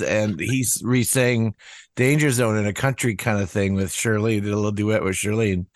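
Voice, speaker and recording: A man's voice: medium pitch, no room sound, clean studio sound. An older man, a musician guest, chatting casually in an interview with lots of restarts and asides. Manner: rambling, many restarts and asides.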